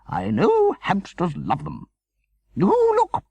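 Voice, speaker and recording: Guy doing a gushy excitable lady from a kids book, super high, swoopy, effusive. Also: slow, vocal fry, husky, no room sound, muffled recording, heavily acted. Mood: delighted. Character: gushing, short-sighted, excitable woman visitor.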